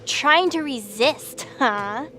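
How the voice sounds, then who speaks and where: A woman's voice: high-pitched, lively intonation, bright, forceful tone, soft background music, full character voice. A woman playing a menacing, commanding war goddess in an audio drama, cold and imperious. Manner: imperious, slow deliberate threats.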